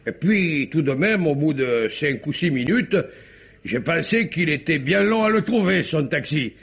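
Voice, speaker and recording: A man playing a folksy, rambling bistro owner in an old-style French radio mystery, with a gravelly, husky voice. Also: on the high side, animated, a little slow, full character voice. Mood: garrulous. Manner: colloquial, rambling, exclamatory. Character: chatty, folksy male bistro owner recalling a drama. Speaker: actor. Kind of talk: 1950s French radio drama acting. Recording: muffled old radio recording.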